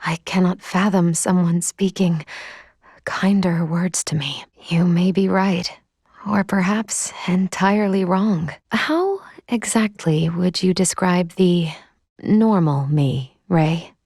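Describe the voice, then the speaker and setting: An actress playing a calm, analytical android companion in a video game, gently caring, with formal, precise diction. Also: female voice, fairly low pitch, slow, no echo, studio quality. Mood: tender, reflective. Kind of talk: video game character dialogue.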